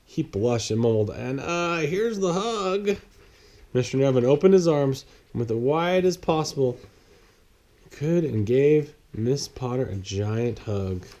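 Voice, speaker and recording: A man's voice: mid-range voice, dark tone. A man reading a children's picture book aloud as a bedtime story.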